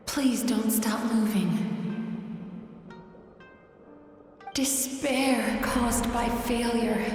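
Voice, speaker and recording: A woman voicing a serene young female dream therapist in a video game, soft and breathy, guiding gently and hypnotically. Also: fairly high pitch. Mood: grave, dreamy. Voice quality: soft, breathy, often whispery. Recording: long echoing reverb, music underneath.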